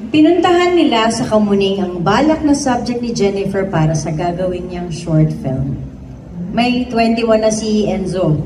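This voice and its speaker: A woman narrates a story at a staged reading with deliberate, serious phrasing. Her mid-pitched voice comes from some distance from the mic, with echo and clear noise around it. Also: expressive. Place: event room before an audience.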